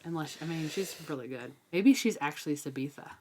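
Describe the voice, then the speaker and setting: Female co-host, low register, casual and conversational, thinking aloud. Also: a little fast, darkish tone. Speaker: book discussion podcast co-host. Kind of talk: casual book chat.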